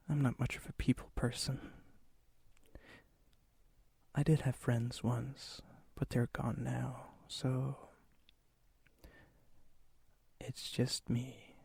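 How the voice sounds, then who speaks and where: A man playing a lonely, gently teasing vampire in an ASMR roleplay, with a soft, breathy voice that often drops into a whisper. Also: medium pitch, no echo, heavily acted. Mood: wistful, lonely. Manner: slow, intimate, hesitant, gently teasing.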